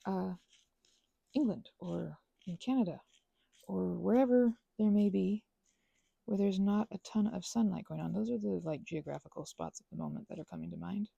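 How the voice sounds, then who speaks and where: A woman's voice: medium pitch, gravelly, warm tone, husky, no room sound, highs cut off. A woman chatting casually while she does crafts, whimsically interrupting herself and talking to herself and to objects. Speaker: craft and ASMR video creator.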